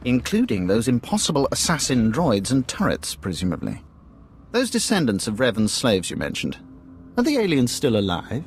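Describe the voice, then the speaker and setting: A man's voice: medium pitch, huge pitch swings, moderate pace, slight background noise. An actor playing a shrewd, calculating man who hires a bounty hunter in a video game, formal in his wording. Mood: measured, intrigued. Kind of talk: video game cutscene acting.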